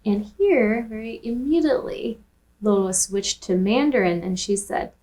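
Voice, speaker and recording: A woman who grew up speaking Taishanese, hosting a language lesson where she repeats phrases slowly and translates them. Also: medium pitch, dark tone. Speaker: language teacher and host. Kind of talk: language lesson with film clips.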